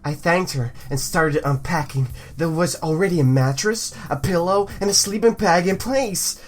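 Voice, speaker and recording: A woman's voice: lowish voice, normal speed, a little mellow, faint music behind. A woman hosting a let's play, reading a visual novel aloud with playful commentary.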